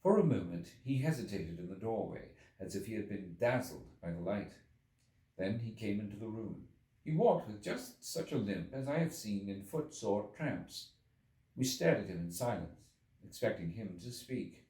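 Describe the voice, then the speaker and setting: Male audiobook narrator: theatrical, measured literary reading, very varied intonation, recorded in an echoey room. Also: on the low side, sing-song, warm tone. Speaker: audiobook reader. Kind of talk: expressive scripted literary narration. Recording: echoey room, distant from the mic.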